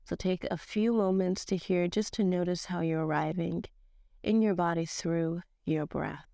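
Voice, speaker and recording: A woman with a stuffed-up, slightly nasal voice, leading a slow guided yoga class in a casual, slangy way. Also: lowish voice, dry recording, studio quality. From Nigerian-American. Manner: casual slangy teacher, slow calm cues. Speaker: yoga teacher.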